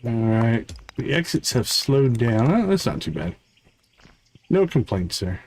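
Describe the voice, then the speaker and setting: A man's voice: medium pitch, very animated, measured pace, a little mellow, slight background noise. A man giving casual, playful livestream commentary as he plays a video game, often muttering to himself. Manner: playful, talks to himself, chants little sound words.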